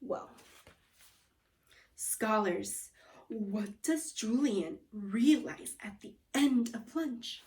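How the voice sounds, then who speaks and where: A woman teacher reading a children's story aloud and guiding pupils, expressive and instructive with deliberate phrasing. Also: a little high, far from the mic. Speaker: second grade teacher.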